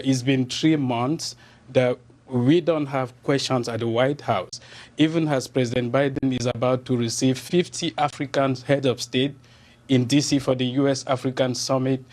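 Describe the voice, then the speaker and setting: A man gives a television interview as an aggrieved journalist. His accent is Cameroonian, shaped by French. He speaks emphatically and indignantly, doubling back on words as he goes, at a medium pitch. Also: steady tone, normal speed, darkish tone.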